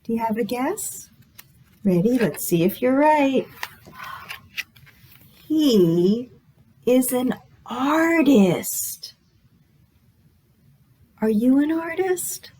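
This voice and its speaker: A woman hosting a storytime for young children, reading aloud in an exaggerated, encouraging way and pausing after questions to invite guesses. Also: sits high, animated, warm tone. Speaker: children's librarian, storytime host.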